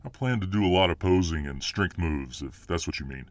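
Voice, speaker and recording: A man's voice: sits low, a little fast, dry recording. A man playing a huge, confident but modest alpha fireman hero in a casual, self-assured ASMR roleplay. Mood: cocky, relaxed. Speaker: voice actor in audio roleplay.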